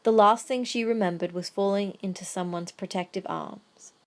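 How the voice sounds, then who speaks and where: A woman narrates an audio drama in a medium-pitched voice. She reads scripted prose as the storyteller. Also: steady tone, moderate pace.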